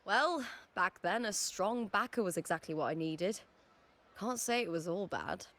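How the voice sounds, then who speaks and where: A woman's voice: mid-range voice, a little slow. Game role: young female gladiator star, fiery and upbeat, breezy casual delivery, mid-range voice. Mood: teasing, relaxed. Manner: animated, breezy, casually chatty. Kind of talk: video game character acting.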